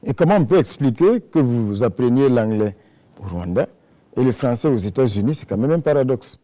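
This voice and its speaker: A man's voice: mid-range voice, animated, normal speed, mellow. A man hosting a formal TV interview show, asking long, wordy questions with fillers. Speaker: TV talk show host.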